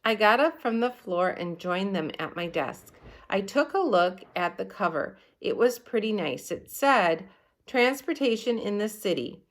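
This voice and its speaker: Expressive woman reading a children's story aloud. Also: medium pitch, a little slow. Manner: animated, acts out the dialogue. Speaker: storybook reader.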